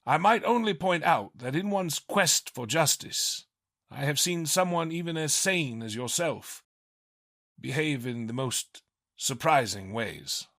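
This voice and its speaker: An actor playing a loyal, tactful older butler, formal and politely pointed, in a scripted motion comic. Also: male voice, a little high, lively intonation, measured pace, fairly bright tone, exaggerated voice. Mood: polite, cautionary.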